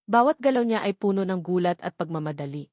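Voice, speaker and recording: A woman's voice: lowish voice, lively intonation, fast, a little mellow, no echo. Woman narrating a dramatic story, dry sound.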